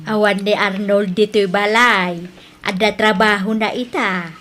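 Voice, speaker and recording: A woman's voice: medium pitch, steady tone, measured pace, fairly bright tone, natural voice. A woman with an Ilocano accent acting a plain-spoken middle-aged mother who answers in a matter-of-fact way.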